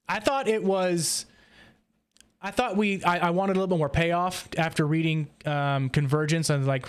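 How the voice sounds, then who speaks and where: A man hosting a casual roundtable discussion, feeling out his thoughts in a halting way. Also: on the high side, clear, resonant tone. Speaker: host of a book discussion podcast.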